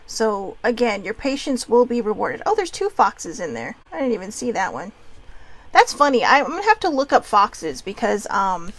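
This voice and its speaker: A woman's voice: medium pitch, poor audio. A woman from Hawaii in her thirties, doing a casual oracle card reading from home, chatty and rambling with frequent asides.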